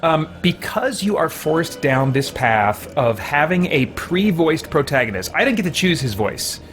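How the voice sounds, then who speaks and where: Higher-pitched man giving casual game commentary. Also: very animated, measured pace, fairly bright tone. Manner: rambling, explanatory. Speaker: livestream gamer. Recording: game music faintly behind.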